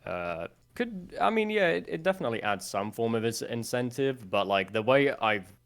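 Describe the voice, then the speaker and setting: A man's voice: mid-range voice, normal speed. A young man from Sweden with a Swedish accent, a guest chatting casually on a podcast and thinking aloud as he talks. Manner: relaxed, thinks aloud with fillers.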